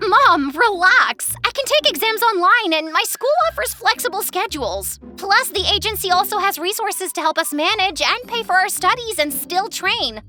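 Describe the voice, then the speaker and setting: A young woman voicing an excitable, cheeky teenage girl in a dramatic, chatty animated storytime narration. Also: very high voice, normal speed, few pauses. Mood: pleading. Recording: music under the voice.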